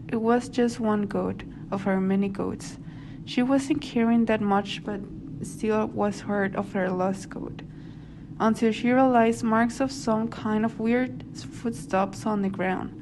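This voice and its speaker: Female narrator for a radio drama, medium pitch, clearly noisy home recording.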